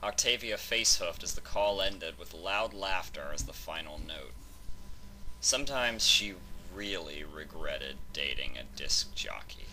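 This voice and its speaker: A man's voice: low-pitched, expressive, a little slow, bright, forceful tone, slight background noise, low-quality recording. A man reading scripted fan fiction narration as the storyteller.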